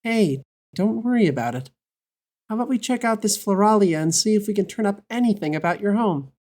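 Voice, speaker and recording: A woman's voice: mid-range voice, lively intonation, a little fast, a little mellow, natural voice. A woman playing a wary, caring and easily exasperated male adventurer in an audio drama. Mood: gently concerned.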